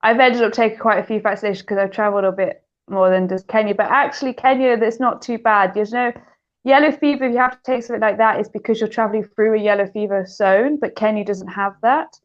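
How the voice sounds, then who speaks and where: A woman's voice: mid-range voice, fairly even intonation, quick pace. British woman, laughing lightly, lo-fi. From United Kingdom. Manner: laughs often, hesitant fillers. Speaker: guest. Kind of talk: casual interview chat.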